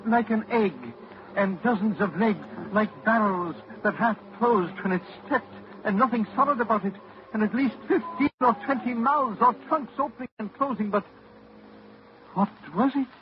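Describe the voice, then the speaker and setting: An actor playing a shaken doctor who reports on a monster at the microphone, in a 1940s radio drama. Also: male voice, sits high, normal speed, lightly acted. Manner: breathless on-the-spot reporting, shaken. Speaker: Doctor Rice. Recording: dull old radio recording with hiss.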